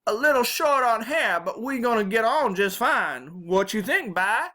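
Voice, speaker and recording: A reader putting on a shrill, feisty old Southern granny. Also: female voice, expressive, unhurried, bright, forceful tone, exaggerated voice. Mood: affectionate bossy. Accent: American South. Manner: folksy, scolding, emphatic. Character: feisty tiny old Southern grandmother, loud and bossy.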